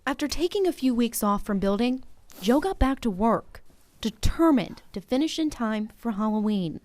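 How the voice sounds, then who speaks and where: A woman's voice: on the high side, animated, normal speed, a little mellow. An American woman reporter reading a scripted narration for a news story.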